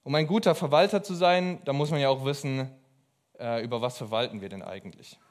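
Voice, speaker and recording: A young man preaching a sermon in an earnest, emphatic way, pausing between phrases. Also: mid-range voice. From abroad.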